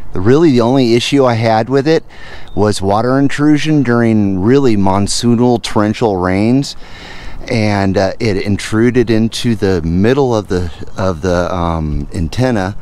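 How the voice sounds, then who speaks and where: Casting: middle-aged American man, deepish and very slow-paced, casual hobbyist host talking outdoors. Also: a little mellow. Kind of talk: casual vlog walkthrough. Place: outdoors in a residential yard and on a roof. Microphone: camera-mounted microphone with furry windscreen. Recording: outdoor ambient noise.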